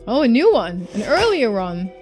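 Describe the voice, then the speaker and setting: A woman's voice: fairly high pitch, big pitch swings, moderate pace, a little mellow. A woman giving casual game playthrough commentary, musing aloud and addressing her viewers. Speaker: game streamer. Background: game music.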